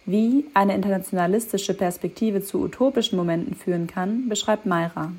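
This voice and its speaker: Narrator: woman with a medium-pitched voice, formal and measured, reading a documentary script. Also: darkish tone, slightly rough.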